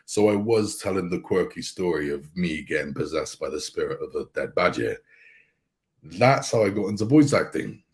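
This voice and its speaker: A man with a very deep voice, a guest voice actor telling his story in relaxed casual chat and laughing easily. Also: normal speed.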